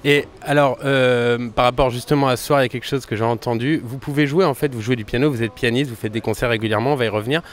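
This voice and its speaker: A young man interviewing a guest, asking friendly questions quickly and often correcting himself mid-sentence. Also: mid-range voice, steady tone. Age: young adult. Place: outdoors at a summer concert event.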